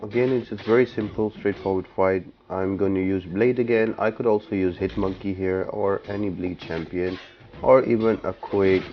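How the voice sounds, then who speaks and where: Guy with a low voice doing a casual game guide, explaining stuff step by step. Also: muffled recording, a little hiss or hum. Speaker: gaming guide host. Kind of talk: casual game walkthrough commentary.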